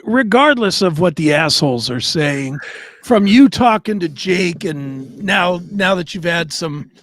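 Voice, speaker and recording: Animated higher-voiced man, casual banter. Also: no room sound. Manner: joking, informal. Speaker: talk show co-host.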